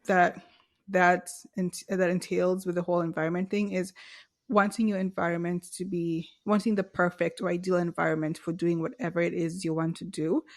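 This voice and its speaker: A woman's voice: fairly low pitch, flat delivery, moderate pace. A young adult woman from Kenya co-hosting a podcast, musing earnestly and restarting her sentences as she thinks. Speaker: podcast co-host. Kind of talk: casual conversational podcast.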